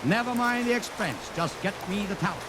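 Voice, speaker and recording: A brash, fast-talking promoter. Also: male voice, high voice, animated, over a noisy crowd. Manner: brash, wheeling-dealing, salesman patter. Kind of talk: 1940s radio acting. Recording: old radio recording with loud hiss and rain.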